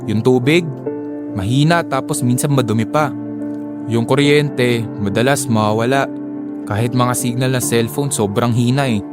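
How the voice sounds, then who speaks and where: A man's voice: medium pitch, normal speed, close to an everyday voice. A man playing a disillusioned friend and neighbour in an audio drama, resigned and conversational, with music behind him. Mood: resigned, frustrated. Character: weary, disillusioned friend, jobless neighbour in resettlement housing. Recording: music under the voice.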